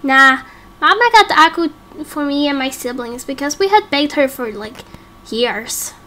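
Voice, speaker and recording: A woman voicing a curious, excitable young child in a game roleplay, innocent and eager. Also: high-pitched, unhurried, heavily acted. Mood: excited.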